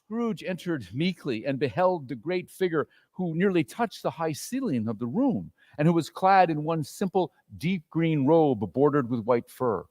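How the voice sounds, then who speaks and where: Animated man narrating a story. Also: on the high side, normal speed, hardly any pauses, in a large hall. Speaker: narrator, dramatic reader.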